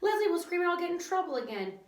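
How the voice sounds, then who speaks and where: A woman's voice: very high-pitched, big pitch swings, fast talker, roomy sound, voice pushed up. A woman voicing a stubborn, grudging young schoolboy in a storybook reading.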